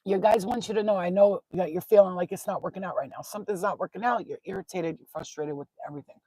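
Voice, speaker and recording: A woman from Albania with a husky, rough-edged voice, recorded dry and close. She talks off the cuff to her online followers as a card reader and advice giver. Her manner is blunt and sweary, and she drives points home by repeating them. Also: on the low side, fast, warm tone, dry recording, low-quality recording. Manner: blunt, profane, repeats herself for emphasis. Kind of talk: informal, unscripted talk to followers.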